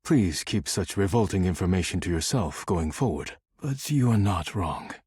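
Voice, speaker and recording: An actor playing a stern, formal, work-obsessed man in a video game, speaking in measured, stiff diction. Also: low-pitched, animated, a little mellow, slightly rough, no echo, clean studio sound, heavily acted. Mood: formal, reserved. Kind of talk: video game character dialogue.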